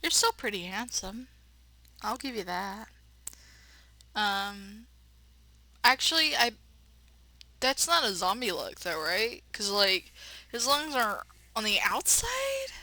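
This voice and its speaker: Girl doing a horror let's play, slow dramatic narration n irreverent comments, bright crisp tone. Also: medium pitch, sing-song. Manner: slangy, irreverent. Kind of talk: dramatic game reading with casual joking commentary.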